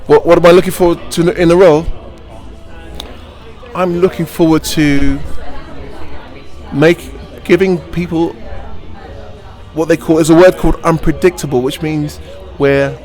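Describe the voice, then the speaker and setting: A middle-aged man who plays in pantomime answers interview questions in a bright, theatrical way. His voice sits a little above the usual male pitch, and he keeps cracking jokes and laughing as he talks. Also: fairly even intonation, a little slow, pauses often, soft background music. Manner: jokey, laughing often, theatrical. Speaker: pantomime actor.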